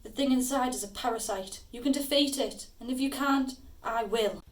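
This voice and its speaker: Woman acting higher-pitched, earnest sister. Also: normal speed, natural voice. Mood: defiant. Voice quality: earnest, pleading delivery. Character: worried, defiant young sister pleading with possessed brother. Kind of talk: audio drama acting.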